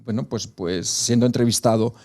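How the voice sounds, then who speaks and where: A male television interviewer with a mellow, darker voice. He asks his questions in a measured way, prompting the guest along. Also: medium pitch, fairly even intonation. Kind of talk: TV studio interview.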